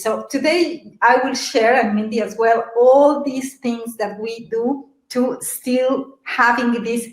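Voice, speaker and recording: A middle-aged woman from Mexico City with a Mexican Spanish accent, giving a lively, animated motivational talk on a webinar and asking her audience questions. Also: on the high side, echoey room, poor audio. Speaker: webinar presenter.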